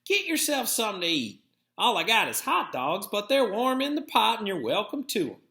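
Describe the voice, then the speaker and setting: A man's voice: high-pitched, very animated, normal speed, creaky, pushed and bright, echoey room, pitched above the performer's own voice, exaggerated voice. A man voicing a plain-spoken, worn-out old country grandmother, blunt and folksy, in a storybook reading. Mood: calming, blunt.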